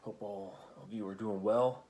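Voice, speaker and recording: Man talking low to keep the house quiet. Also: steady tone, moderate pace, a little hiss or hum. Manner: kept low and hushed, as others in the house sleep; slangy, swears freely. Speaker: vlogger. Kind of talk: casual storytelling vlog. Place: a house at night.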